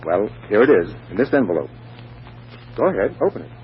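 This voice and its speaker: A man's voice: on the low side, big pitch swings, brisk. A man acting as an earnest, courteous businessman in a 1940s radio comedy, polite and eager, at times hesitant. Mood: courteous, slightly awkward. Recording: muffled, noisy vintage radio recording.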